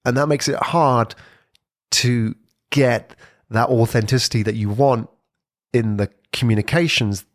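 Man, podcast host, mid-pitched voice, close dry sound, conversational, explains step by step. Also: steady tone, measured pace, long pauses, no room sound. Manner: conversational, often hedges with "sort of"; explanatory, lays out points step by step. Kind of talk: solo podcast commentary.

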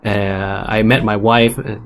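A man's voice: fairly low pitch, unhurried, a little hiss or hum. A man from Hong Kong with an American-sounding accent, speaking animatedly as a guest on a panel, restarting and repeating himself often. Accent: American-sounding English. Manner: animated, with frequent restarts and repetitions. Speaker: guest entrepreneur. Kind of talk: casual panel discussion.

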